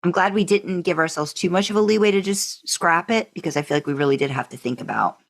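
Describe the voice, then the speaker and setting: A woman's voice: fairly low pitch, fast talker, creaky. A woman co-hosting a podcast, talking casually and emphatically as an enthusiastic fan. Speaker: podcast co-host.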